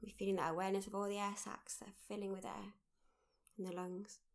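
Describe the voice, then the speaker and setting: A woman guides a mindfulness meditation in a mid-pitched voice with plenty of creak. Her delivery is gentle and fairly even, in short phrases with unhurried pauses between them. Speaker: meditation guide, workshop leader.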